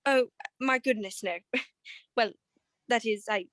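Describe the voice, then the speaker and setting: A woman plays a well-to-do wife in a 1940s radio mystery. Her high voice is genteel but flustered and hesitant, evasive and anxious, recorded close and dry. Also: slow, no echo. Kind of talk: 1940s radio detective drama acting.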